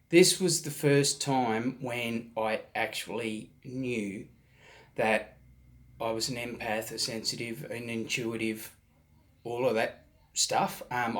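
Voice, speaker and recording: A man, hosting his own channel and telling a personal story in a halting way, his voice slightly hoarse from a recent virus. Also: mid-range voice. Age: 40s. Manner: halting, many ums and restarts, soft-spoken. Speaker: vlog host.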